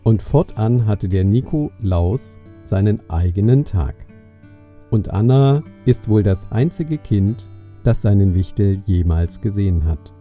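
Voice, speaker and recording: A man narrates a children's story from a script. His voice is fairly low and very soft and mellow, with quiet music underneath. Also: lively intonation, unhurried.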